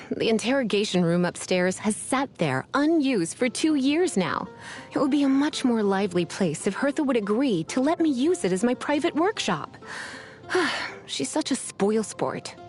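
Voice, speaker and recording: A woman's voice: on the high side, very animated, normal speed, a little mellow, soft background music. An actress voicing a teasing, languid witch in a video game, flirtatious and theatrical. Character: teasing, sultry witch librarian, playful and languid. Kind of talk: scripted video game voice lines.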